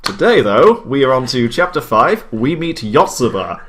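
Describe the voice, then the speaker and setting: A man's voice: medium pitch, big pitch swings, moderate pace, fairly bright tone. A man giving a comic dramatic reading of fan fiction as a host, with amused asides. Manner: amused, breaks into laughter while reading. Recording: music behind the voice. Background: background music.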